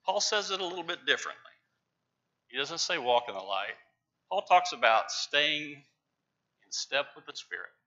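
A middle-aged man preaching from a church pulpit, stressing key words with emphatic, rising loudness. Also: a little high, expressive, normal speed, long pauses. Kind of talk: sermon. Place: large church sanctuary with pews. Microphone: lapel mic, far camera. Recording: dull church livestream audio.

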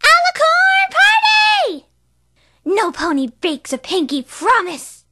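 A woman's voice: very high voice, takes it slowly, bright, forceful tone, voice pushed up, exaggerated voice. A woman voicing a bubbly, hyperactive pink pony girl who loves parties, in a fan voice reel.

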